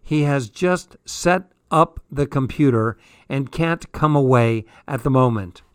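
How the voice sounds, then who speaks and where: A man voicing a nosy middle-aged mother in an audiobook, calling out loudly through the house. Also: mid-range voice, unhurried, clean tone, no room sound, studio quality, lightly acted. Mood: relaying loudly.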